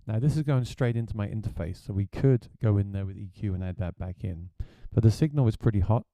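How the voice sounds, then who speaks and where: Brief: a low, dark-toned man, relaxed gear reviewer, dry close sound. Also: fast talker, dry recording, highs cut off. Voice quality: deep voice. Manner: chatty, plain-spoken. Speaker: gigging musician reviewing gear.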